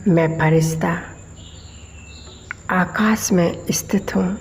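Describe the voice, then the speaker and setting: Female meditation guide: slightly low, creaky voice, measured short phrases with long pauses. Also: slight background noise. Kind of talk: slow guided meditation commentary.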